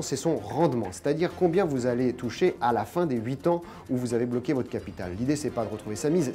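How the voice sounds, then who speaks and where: Male news explainer, 40s, mellow-toned, didactic and emphatic, faint music underneath. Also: mid-range voice. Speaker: economics journalist. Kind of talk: explanatory news commentary to camera. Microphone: lapel mic.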